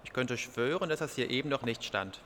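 A man's voice: medium pitch, lively intonation, fairly quick, fairly bright tone, a little hiss or hum, natural voice. A man playing a sceptical friend in a group exploring a haunted house in an audio play. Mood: puzzled. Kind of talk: scripted Halloween audio play acting, conversational.

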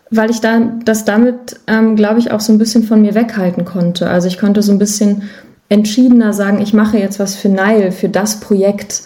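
A woman's voice: medium pitch, dark tone. A woman speaking candidly and chattily as a guest in a casual interview, readily a little self-deprecating. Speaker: podcast guest, musician and voice artist.

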